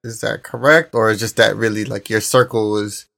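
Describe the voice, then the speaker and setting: A man's voice: fairly low pitch, steady tone, normal speed, vocal fry, fairly bright tone. Easygoing Guyana-born man hosting a talk show. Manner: easygoing, frequent "you know what I'm saying". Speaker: podcast host. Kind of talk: casual conversational interview.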